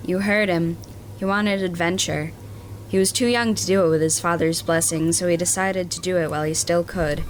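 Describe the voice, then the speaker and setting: A woman playing a matter-of-fact starship doctor in a science fiction audio drama, explaining things in a measured, clinical way. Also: lowish voice, steady tone, a little hiss or hum, natural voice, poor audio. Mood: grave, explanatory.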